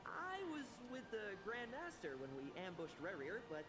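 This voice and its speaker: A woman's voice: medium pitch, huge pitch swings, normal speed. An actress playing a cheeky young woman knight with a playful, teasing manner. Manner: playful, teasing, swinging between cheer and gloom. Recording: game audio with noise.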